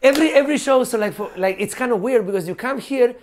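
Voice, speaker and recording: A man, a professor guest in a casual podcast conversation, talking in an animated way with laughs, fillers and swearing. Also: very high-pitched, quick pace. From Chile.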